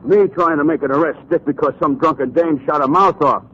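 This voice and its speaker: An actor playing a cautious, exasperated American police lieutenant in a 1940s radio crime drama, blunt and argumentative. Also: male voice, fairly high pitch, fairly quick, fairly bright tone, breathy edge. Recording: muffled 1940s radio recording.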